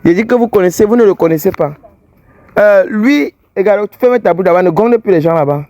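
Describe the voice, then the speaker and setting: A man's voice: high-pitched, fast, mellow, dry recording. A man with an English-speaking Cameroonian accent hosting a fidelity-test vlog, speaking in a blunt, scolding, teasing way. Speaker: fidelity tester vlogger host. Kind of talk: street vlog confrontation.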